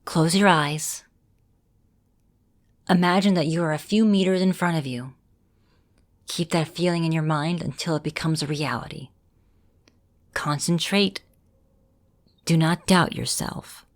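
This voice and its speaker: Low-pitched vampire mistress, acted by a woman. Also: takes it slowly, long pauses. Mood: calm, instructive. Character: female vampire mistress, caring, composed mentor, easily flustered. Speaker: voice actor in a roleplay audio. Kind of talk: ASMR roleplay, speaking to the listener.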